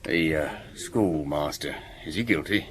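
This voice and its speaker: A man's voice: extremely low pitch, a little slow. An actor playing a brash, over-familiar village man in a radio drama, blunt and sardonic. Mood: sardonic, needling.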